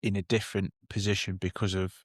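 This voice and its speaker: A man's voice: low-pitched, little pitch movement, quick pace, husky, dry recording, studio quality. A man, co-hosting a casual chat and often repeating and correcting himself as he goes. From northern England. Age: late 30s. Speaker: podcast co-host.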